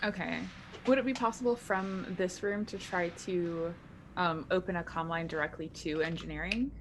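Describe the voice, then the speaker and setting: A woman's voice: lowish voice, normal speed, slight background noise. A woman playing a tabletop roleplaying game, chatting casually and asking things in a tentative way.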